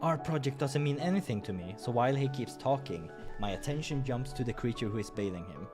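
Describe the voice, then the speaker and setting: A man's voice: mid-range voice, animated, a little fast, music in the background. A man hosting a game playthrough, reading visual novel narration and breaking off for casual asides.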